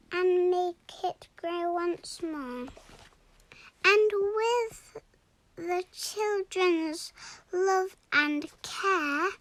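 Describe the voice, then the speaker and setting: A young girl reading a rhyming children's storybook aloud in a deliberate, expressive way. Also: very high voice, no echo. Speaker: story reader.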